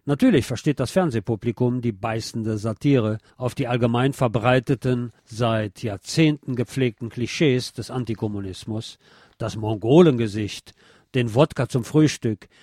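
A man's voice: medium pitch, darkish tone, dry recording, studio quality. A man presenting a radio feature in scripted narration.

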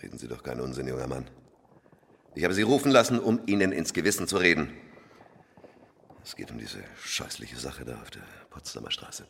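A pompous, condescending government minister in a radio play. His delivery is forceful and bright, swinging widely from low asides to declamatory peaks. Long reverb surrounds his menacing, deliberate lecture. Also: big pitch swings, vocal fry, heavily acted. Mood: condescending, stern. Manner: oratorical, condescending, deliberate emphasis. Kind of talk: German radio drama acting.

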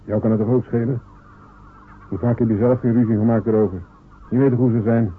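Male actor as a small, stubborn fisherman; earnest, defiant; husky tone, thin lo-fi radio sound. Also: medium pitch, fairly even intonation, fairly quick, close to an everyday voice. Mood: determined. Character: proud small fisherman John, stubborn, wants respect. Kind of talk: radio play acting. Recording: narrow phone-like old radio recording.

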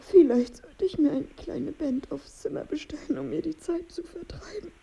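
A woman's voice: high-pitched, animated, moderate pace, creaky, mellow. An actress playing a melancholy, bitter married woman in a radio drama, with a brooding, wry, weary manner and diction. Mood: tearful. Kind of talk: radio drama monologue and dialogue.

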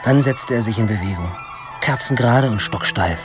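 An actor playing a wry, self-important first-person narrator in a radio drama, dry and ironic. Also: male voice, mid-range voice, normal speed, over music, lightly acted. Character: wry male narrator looking at his own youth, self-important.

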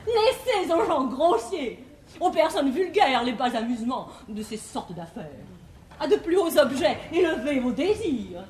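High, swooping, haughty prudish bluestocking. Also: female voice, very animated, measured pace, darkish tone. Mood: haughty scorn. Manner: declamatory, theatrical classical verse delivery. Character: haughty, prudish bluestocking young woman scorning marriage. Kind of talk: 1950s French classical stage comedy acting. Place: large theatre stage with live audience. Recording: echoey theatre stage, faint hiss.

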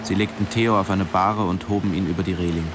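Low, sober man acting as weary narrator. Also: steady tone, fast talker, background noise, lightly acted. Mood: sombre. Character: sombre, weary male diving instructor telling his story. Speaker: first-person narrator. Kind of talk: radio drama narration and dialogue.

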